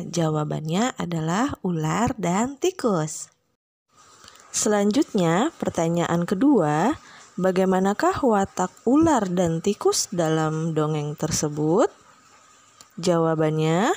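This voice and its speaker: A woman speaks as a primary school teacher in a scripted lesson. She goes slowly and deliberately, setting out each item for young pupils. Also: fairly low pitch, a little mellow, no echo. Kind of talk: scripted lesson with questions and answers.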